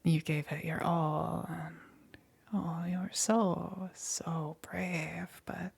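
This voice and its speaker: A woman playing a sadistic, teasing demon queen in a whispered ASMR roleplay, husky and breathy, with drawn-out, taunting, condescending delivery. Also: lowish voice, level tone, a little mellow, heavily acted. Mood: condescending menace.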